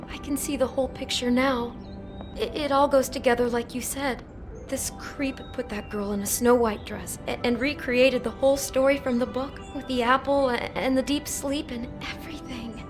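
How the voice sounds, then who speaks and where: A woman's voice: on the high side, normal speed, lightly acted. A woman voicing an anxious, worried young woman. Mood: thoughtful. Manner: worried, expressive, stammering restarts. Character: anxious young woman, kind, easily unsettled. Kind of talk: video game cutscene acting. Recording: game audio with music behind.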